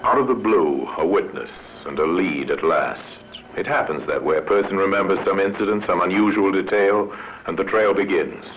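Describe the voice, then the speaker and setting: A man giving terse, deliberate, dramatic scripted narration in the style of old radio. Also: low-pitched. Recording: muffled old radio recording with noise.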